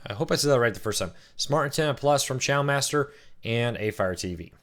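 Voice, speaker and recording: A man with a slightly rough, worn voice after a weekend of cheering, hosting a relaxed live-stream Q&A. He chats easily, greets viewers by name and works through their questions one by one. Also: mid-range voice, a little fast. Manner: chatty, reads out viewer comments and greets them by name.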